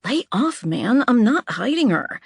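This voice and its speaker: A woman's voice: on the high side, sing-song, a little slow, creaky, husky, dry recording, studio quality, pitched above the performer's own voice, exaggerated voice. A woman narrator playing a tough, defiant female bar worker with a blunt, combative manner.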